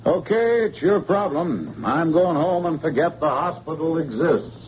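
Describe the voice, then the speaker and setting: Colleague doctor, male,, casual offhand chatter, lively pitch, dull old radio sound. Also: measured pace. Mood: breezy. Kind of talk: 1940s radio acting. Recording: dull old radio recording, faint hiss.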